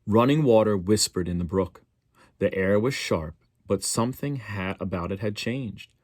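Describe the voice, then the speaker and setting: A man's voice: on the low side, normal speed, a little mellow, dry recording, studio quality. A man reading a picture book aloud expressively, as a storyteller for schoolchildren.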